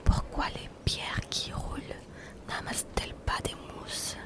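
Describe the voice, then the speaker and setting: A woman reading out questions in a breathy whisper. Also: unhurried, sharp tone.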